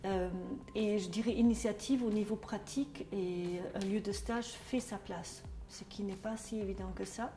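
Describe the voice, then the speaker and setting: A woman's voice: mid-range voice, fairly even intonation, moderate pace, few pauses, warm tone, roomy sound. A middle-aged woman from Germany speaking with a German accent, talking hesitantly with many fillers and restarts in a testimonial interview as a trainer. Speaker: trainer at a social work school.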